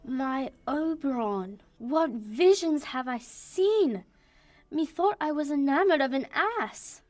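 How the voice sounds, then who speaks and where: A woman's voice: high voice, animated, slow, no room sound, faint music behind. A young woman playing a regal, doting fairy queen, reciting lyrical Shakespearean verse in a radio play. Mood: dismayed. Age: teens or young adult.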